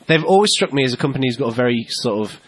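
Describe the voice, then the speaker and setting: A man's voice: medium pitch, animated, racing, breathy edge, no room sound. A man co-hosting a podcast, bantering and teasing with frequent laughs and swearing. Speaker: podcast co-host. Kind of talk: casual banter.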